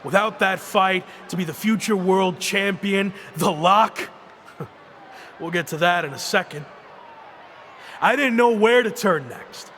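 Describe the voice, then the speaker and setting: Casting: cocky game wrestler, high projected voice, slow emphatic promo delivery over arena crowd noise. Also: male voice. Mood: reflective, defiant. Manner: emphatic, deliberate arena speechmaking. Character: defiant returning pro wrestler, cocky and determined. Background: loud arena crowd ambience.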